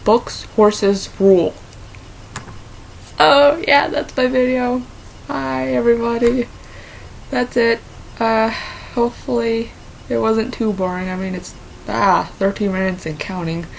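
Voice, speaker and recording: A woman with a mixed Minnesota and Southern accent who answers quiz questions in a casual vlog and talks haltingly with many fillers. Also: fairly high pitch, fairly even intonation, creaky, slight background noise, low-quality recording. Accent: mixed Minnesota and Southern American. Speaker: vlogger.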